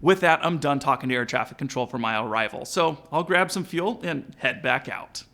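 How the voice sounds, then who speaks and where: A man's voice: mid-range voice, lively intonation, normal speed, vocal fry, pushed and bright. A man presenting an instructional narration as a pilot, explaining in an informal way.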